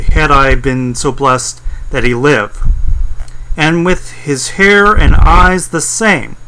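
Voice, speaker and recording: A man's voice: a little high, pushed and bright, pitched above the performer's own voice, poor audio. A man voicing an old male professor who speaks with grief and fervor in broken, old-fashioned English, read dramatically from a novel. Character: old male professor, grieving, philosophical and fervent. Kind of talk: dramatic reading of a novel excerpt.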